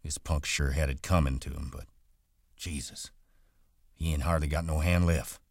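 A man voicing a blunt, authoritative ranch hand with rough rural speech in a story reading. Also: a bass voice, steady tone, moderate pace, dry recording, studio quality, full character voice. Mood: grave.

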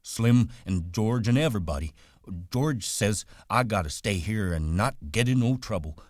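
A hulking, simple-minded farmhand speaks in a hesitant, childlike, pleading way, as a male audiobook reader puts him on. His low voice is lifted a little for the part. The sound is dry and close. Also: animated, normal speed, no room sound, close to an everyday voice.

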